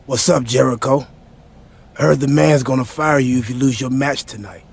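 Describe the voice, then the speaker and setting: A taunting wrestling rival in a game scene. His voice is medium in pitch, husky and full of fry. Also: moderate pace. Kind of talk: video game cutscene acting.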